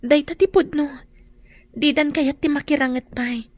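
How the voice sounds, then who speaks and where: A woman's voice: sits high, normal speed, lightly acted. A woman acting a shy, hesitant young student, a dutiful daughter, in a radio drama. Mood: worried.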